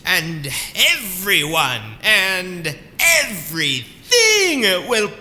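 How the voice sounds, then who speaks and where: Woman acting a grandiose, slow-talking fake mystic. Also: lowish voice, sing-song, noisy background, full character voice. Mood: solemn, grandiose. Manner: theatrical, grandiose. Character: self-important fake island mystic, flustered and grandiose. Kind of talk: comedic audio drama acting.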